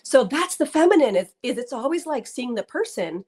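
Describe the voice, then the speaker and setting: A woman's voice: high-pitched, big pitch swings, a little fast, a little mellow, husky. A woman in her thirties, guest author in an interview, talking earnestly with many fillers and restarts. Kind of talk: conversational interview.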